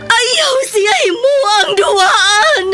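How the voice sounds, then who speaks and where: A fierce, anguished mother in a radio melodrama. Her voice is very high and piercing, with big emotional swings and an accusing delivery. Faint music plays underneath. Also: expressive, slow, raised voice, exaggerated voice. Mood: anguished, tearful. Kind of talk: melodramatic radio drama acting.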